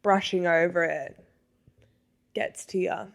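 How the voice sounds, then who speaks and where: A young woman co-hosting a podcast, dropping short teasing asides in relaxed chat. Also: fairly low pitch, gravelly. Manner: laid-back, teasing, quick asides. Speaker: podcast co-host. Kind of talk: casual chat.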